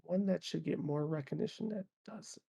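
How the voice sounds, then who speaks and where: A man's voice: fairly high pitch, normal speed, gravelly, warm tone, husky. A man co-hosting a casual podcast chat, hesitant and rambling, with lots of fillers. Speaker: podcast co-host.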